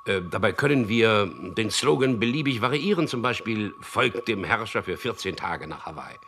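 Very deep, creaky propagandist. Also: male voice, animated, normal speed, a bit bright, a little hiss or hum. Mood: eager, persuasive. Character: smooth-talking young propagandist narrating his own futuristic story. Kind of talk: German radio drama narration and dialogue.

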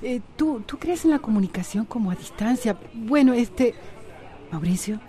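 An actress playing a tender, excitable pregnant woman in love who keeps the peace. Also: a little high, moderate pace, a faint crowd, natural voice. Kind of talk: Spanish-language radio soap acting.

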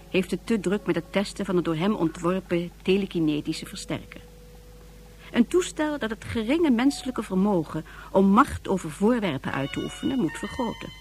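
Female storyteller, scripted radio play narration, deliberate dramatic phrasing, medium pitch, music behind. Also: a little mellow.

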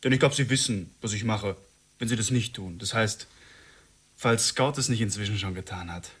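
Husky, cocky gangster hostage-taker. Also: male voice, lowish voice, moderate pace. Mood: quiet menace. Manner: colloquial, taunting. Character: Wayne, menacing male gangster and hostage-taker, cocky, volatile. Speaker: actor. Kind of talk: radio drama acting.

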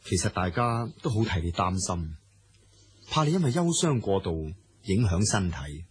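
An actor playing a courteous, caring male officer in a radio drama, with a husky, expressive voice. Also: sits low, moderate pace, exaggerated voice. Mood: concerned. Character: concerned, admiring male officer, courteous cousin. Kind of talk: Cantonese radio drama acting.

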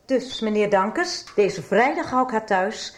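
A woman's voice: medium pitch, animated, unhurried, reverberant, close to an everyday voice. An actress playing an assertive middle-aged mother in a radio soap, firm and formally polite as she stands her ground. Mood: firm, combative. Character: assertive divorced mother standing up to a school official. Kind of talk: 1990s radio soap acting.

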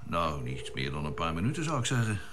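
Excitable spaceship engineer, animated pitch. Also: male voice, brisk. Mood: serious, puzzled. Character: earnest male spaceship engineer, excitable under pressure. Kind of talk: radio drama acting, science fiction serial.

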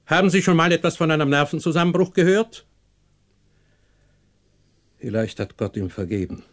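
A man acting a stern, proud, unforgiving older patriarch in a German radio play, cold, sarcastic and measured. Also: on the high side, sing-song. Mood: cold, haughty.

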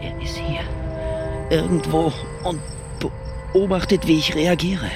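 A doctor near panic stammers and rushes through his words. His voice runs high for a man and lurches up and down. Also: very animated, creaky, over loud music, exaggerated voice. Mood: frantic. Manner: tense, frantic, stammering. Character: nervous male doctor sliding into panic. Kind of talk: radio drama acting.

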